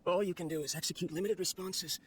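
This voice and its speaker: A man acting a lonely, obsessive researcher from a video game, his voice pitched a little high. He speaks in a weary, wistful, brooding way, as if to his only creation. There is clear ambient noise behind him. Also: fairly even intonation, fast talker, natural voice. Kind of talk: dramatic video game monologue.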